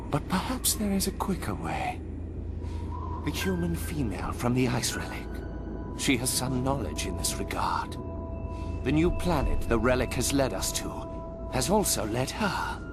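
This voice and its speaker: A man voicing a scheming alien religious leader in a game cutscene, grandiose and theatrical, dropping into whispers. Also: medium pitch. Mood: zealous. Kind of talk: video game cutscene acting. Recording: loud score under the voice. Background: music.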